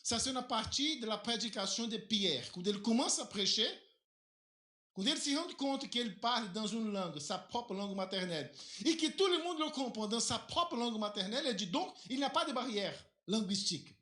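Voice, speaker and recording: A male pastor preaching a sermon in a church, a native Portuguese speaker who asks rhetorical questions and leaps up and down in pitch as he drives his points home. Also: expressive, moderate pace, pushed and bright. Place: church hall. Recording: echoey church hall.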